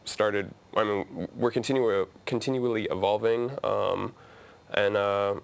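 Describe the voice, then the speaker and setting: A man's voice: fairly low pitch, clean tone. A man from a media collective talking casually in an interview, with frequent fillers and restarts. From grew up in Asia, Nepal. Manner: hesitant, many fillers and restarts.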